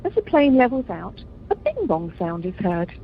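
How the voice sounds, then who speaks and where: Woman as animated narrator, muffled line. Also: medium pitch, moderate pace. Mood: descriptive. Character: female narrator describing the action. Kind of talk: scripted narration of amateur radio comedy. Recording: muffled conference call, faint noise.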